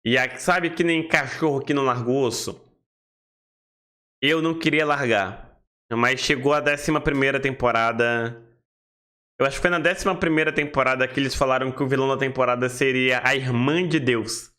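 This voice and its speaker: A man's voice: mid-range voice, moderate pace, pushed and bright. A man from Salvador, Brazil with a Bahian accent, hosting a casual reaction video with chatty, emphatic interjections. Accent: Baiano (Salvador, Brazil). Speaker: reaction host.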